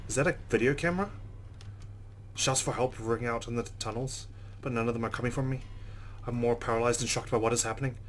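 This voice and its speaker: A man's voice: medium pitch, a little hiss or hum. A man hosting a let's play, reading visual novel narration dramatically and reacting with exclamations.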